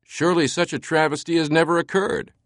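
A man's voice: medium pitch, animated, moderate pace, no room sound, clean studio sound, natural voice. A man voicing an earnest, courteous scholar who pleads politely and urgently in an audiobook. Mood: eager.

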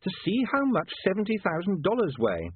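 Man acting an earnest, urgent young newspaperman, raised pitch. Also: huge pitch swings, a little fast, breathy edge, no room sound, full character voice. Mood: urgent, insistent. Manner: urgent, insistent, hesitant at times. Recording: muffled narrow-band, phone-like radio sound.

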